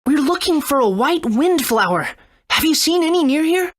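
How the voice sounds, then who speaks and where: A woman's voice: high voice, sing-song, moderate pace, dry recording. A woman voicing an earnest, good-natured young boy adventurer in a video game. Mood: eager. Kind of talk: English game dub.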